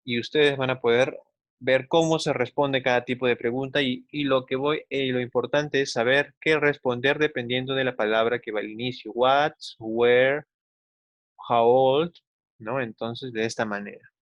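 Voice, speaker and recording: A man's voice: mid-range voice, fairly even intonation, no echo, muffled recording. Didactic man teaching English. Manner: didactic, slowly enunciates English examples, often seeks agreement with tag questions. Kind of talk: instructional online class for children.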